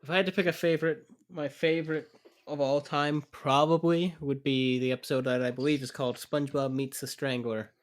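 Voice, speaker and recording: A man's voice: on the high side, level tone, a little fast. A young man co-hosting a podcast, easygoing and wry in casual chat, speaking into a desk mic on a remote call. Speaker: podcast co-host. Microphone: USB desk mic in a remote call.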